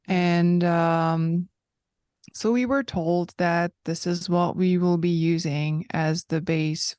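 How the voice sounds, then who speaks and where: A woman in her thirties with a low, smooth voice, recounting her story as an interview guest. She speaks slowly and reflectively, pausing often. The sound is dry and close. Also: a little mellow, no echo. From Hungary. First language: Hungarian. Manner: thoughtful and reflective, with frequent pauses.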